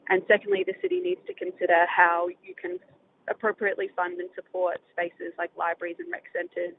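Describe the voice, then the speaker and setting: A speaker with an Australian accent giving an earnest prepared statement to a city council. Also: female voice, medium pitch, creaky. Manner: earnest, read-out statement with rising inflections. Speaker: public speaker at a council meeting. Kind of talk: prepared public comment. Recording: tinny phone line with faint noise.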